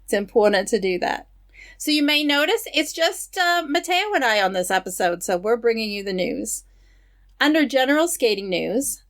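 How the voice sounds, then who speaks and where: A woman co-hosting a podcast, reading news items in a steady, matter-of-fact way with casual asides. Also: medium pitch. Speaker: podcast co-host.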